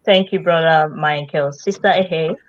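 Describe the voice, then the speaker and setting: A woman's voice: deep voice, fairly even intonation, a little slow, lo-fi sound, slight background noise. A woman hosting a casual live-streamed business chat from a video call, speaking with many fillers. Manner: warm greetings with frequent fillers. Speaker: host.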